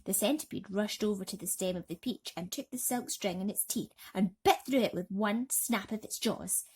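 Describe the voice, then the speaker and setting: Female storybook narrator, dramatic and suspenseful, expressive bedtime reading at a natural middle pitch. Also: moderate pace, breathy edge.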